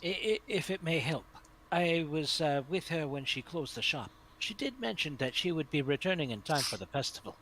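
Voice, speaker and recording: Man as a lively, courteous gentleman traveler. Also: a little high, moderate pace, slight background noise. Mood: polite, helpful. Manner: formal, polite, wordy. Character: courteous, eloquent gentleman traveler visiting for a festival. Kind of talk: tabletop role-play.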